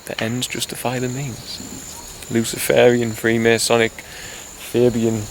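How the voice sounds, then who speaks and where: A man's voice: medium pitch, completely flat delivery, unhurried, a little hiss or hum, poor audio. A man giving an impassioned monologue straight to camera, urging the listener in a rambling way that restarts mid-thought. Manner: earnest, urgent, addresses listener directly as "you". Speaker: video blogger.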